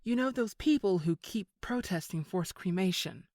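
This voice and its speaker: A woman's voice: mid-range voice, lively intonation, normal speed, a little mellow, no room sound, clean studio sound, lightly acted. An actress playing a sly villainous woman in an audio drama, condescending with feigned sweetness. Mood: insinuating. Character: sly, scheming villainous old flame, woman. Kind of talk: scripted audio drama acting.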